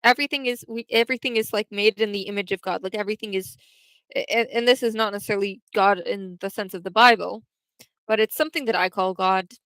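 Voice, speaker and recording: A woman's voice: mid-range voice, fairly even intonation, fast talker, fairly bright tone, no room sound, poor audio. A young woman casually chatting on a livestream, answering viewers' questions in a rambling way full of restarts and fillers. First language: English.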